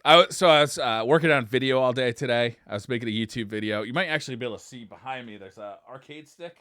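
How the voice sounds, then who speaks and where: A man's voice: medium pitch, quick pace, fairly bright tone, no echo, studio quality. A middle-aged man co-hosting a podcast, telling stories in a casual, self-deprecating and readily amused way. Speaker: podcast co-host. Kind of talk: casual chat and storytelling.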